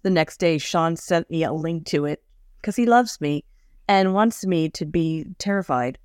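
A woman with a very low voice co-hosts a podcast and tells stories in easy, chatty talk. The recording is dry and close, with no room sound. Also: expressive, measured pace, darkish tone, smooth, no room sound. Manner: chatty, anecdotal storyteller. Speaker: podcast co-host. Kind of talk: casual chat.

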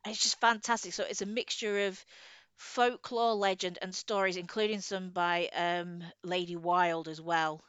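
A woman co-hosting a podcast in casual chat, with an animated, jokey manner. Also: fairly low pitch, normal speed, clean tone, no echo, highs cut off. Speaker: podcast co-host.

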